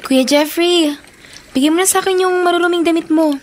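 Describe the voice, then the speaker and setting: A woman's voice: extremely high pitch, brisk, soft background music. An actress playing a respectful, dutiful Filipino schoolgirl in a radio drama. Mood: worried, confiding.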